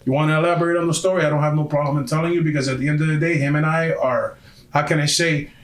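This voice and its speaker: A man's voice: a little high, little pitch movement, racing, roomy sound. A man in his forties from Miami, a podcast guest telling his story bluntly and emphatically, repeating himself and swearing. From Miami, USA. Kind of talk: candid storytelling interview.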